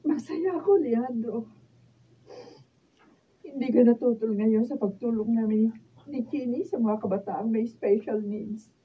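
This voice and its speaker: A woman's voice: on the high side, unhurried, pauses often, breathy edge. An actress with a Filipino accent playing a tender, emotional wife and mother in a radio drama. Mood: earnest. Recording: tinny, noisy studio camera recording.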